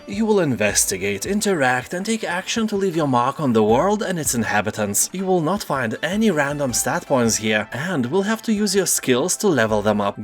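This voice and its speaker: A man presenting game deals in scripted narration, slipping in dry, joking asides. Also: medium pitch.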